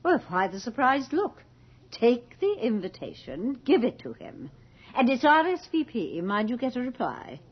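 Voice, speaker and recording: Haughty, husky aristocratic hostess. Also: female voice, a little high, very animated, normal speed. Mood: brisk, imperious. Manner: haughty, theatrical, commanding. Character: imperious, callous aristocratic society hostess, amused by death. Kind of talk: radio drama acting. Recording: dull 1980s radio drama recording.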